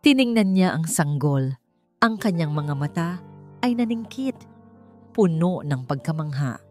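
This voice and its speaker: A woman narrates a romantic drama in a fairly low voice. Her delivery is measured and scripted, with soft music running behind her. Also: animated. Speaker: story narrator. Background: background music.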